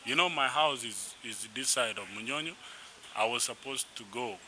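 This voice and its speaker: A man's voice: mid-range voice, moderate pace. Young south sudanese guy rambling about his music, mid voice, noisy room. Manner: animated, rambling, frequent restarts. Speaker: musician guest. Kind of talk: casual interview storytelling. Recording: noisy background.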